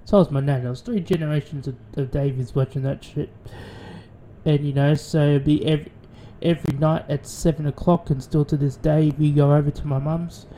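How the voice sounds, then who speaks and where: A man's voice: fairly high pitch, steady tone, brisk, dark tone, a little hiss or hum. A man with an Australian accent, hosting a radio show in a rambling, profane casual monologue with many restarts.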